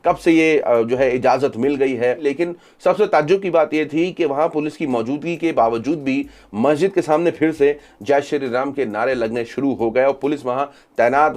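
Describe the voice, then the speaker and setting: A man's voice: mid-range voice, normal speed, hardly any pauses, a little mellow. A man hosting social media news commentary, reporting events and reading out reactions.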